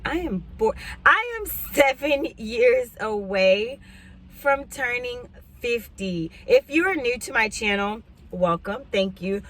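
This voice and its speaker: A woman's voice: fairly high pitch, expressive, takes it slowly, a bit bright, low-quality recording. Chatty woman in her forties. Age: 40s. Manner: chatty, rambling, frequent asides and throat clearing. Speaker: couponing YouTuber. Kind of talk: casual chat to camera.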